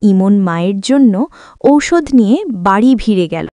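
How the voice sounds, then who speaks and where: A woman's voice: mid-range voice, animated, moderate pace, warm tone, no room sound. A woman narrating a scripted horror story for a cartoon.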